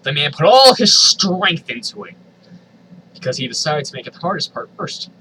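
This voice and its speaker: A man's voice: a little high, very animated, moderate pace, bright, forceful tone, slight background noise, rough recording. A man reading a story aloud in a theatrical, comedic way, with incredulous asides. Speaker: host reading fan fiction aloud.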